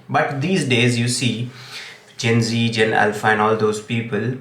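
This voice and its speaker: A man's voice: mid-range voice, a bit bright. A young man, an English teacher whose first language is Gujarati, speaks with an Indian English accent and gives earnest tips, often saying "okay". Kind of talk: direct-to-camera tips video. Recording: echoey room.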